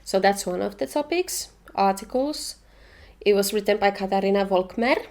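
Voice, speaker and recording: A woman's voice: medium pitch, normal speed, a little mellow. A young woman from Finland whose first language is Finnish, chatting casually and eagerly about books on her vlog. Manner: enthusiastic, opinionated, chatty. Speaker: book vlogger. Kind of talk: casual book review chat.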